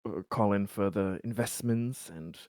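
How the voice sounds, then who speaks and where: A man playing a formal, halting colony official, low-voiced. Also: mellow, no room sound, studio quality. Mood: hopeful, deliberate. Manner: halting, wordy, formal corporate phrasing. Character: formal, diplomatic male colony official, earnest and optimistic. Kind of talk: improvised tabletop roleplay dialogue.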